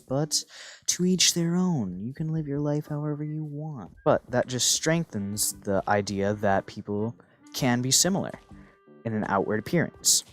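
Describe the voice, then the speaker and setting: A teenage boy chats on in a loose, rambling way, tossing in goofy asides. His pitch swings widely up and down as he talks. Faint music plays softly underneath. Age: teens. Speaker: gaming channel host. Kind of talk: casual rambling monologue.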